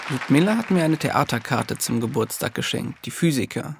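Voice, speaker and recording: A man's voice: mid-range voice, lively intonation, moderate pace, vocal fry, a loud crowd, heavy background noise. A man talking casually, in a spoken storytelling way. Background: music.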